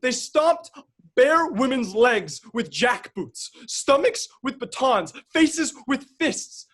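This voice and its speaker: A man's voice: very high-pitched, big pitch swings, slow, fairly bright tone, breathy edge, full character voice. An actor playing a rowdy young soccer-fan protester who swears and tells his story in an agitated rush. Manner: crude, breathless storytelling, swearing.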